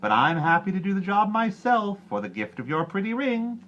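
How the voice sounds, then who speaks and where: Storybook gnome, performed by a man: tiny, taunting trickster, pitched up high, swooping sing-song delivery. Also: big pitch swings, moderate pace, a bit bright, muffled recording, slight background noise. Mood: crooning. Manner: sing-song, roaring and crooning with nonsense rhymes. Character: bizarre stumpy little gnome man, mocking and wheedling.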